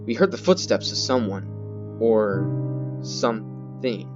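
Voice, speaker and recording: A man with a fairly low voice reads horror game text out loud. He is theatrical and halting, often repeating words and slipping in his own remarks. The sound is somewhat dull, with loud guitar music playing behind him. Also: big pitch swings. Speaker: gaming video host. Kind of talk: reading horror game text aloud dramatically, with ad-libbed comments.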